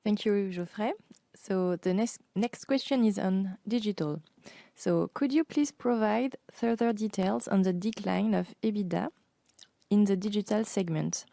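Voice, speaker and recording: A woman's voice: mid-range voice, unhurried, vocal fry, warm tone, no room sound. A woman moderating an earnings call, formally reading out investor questions.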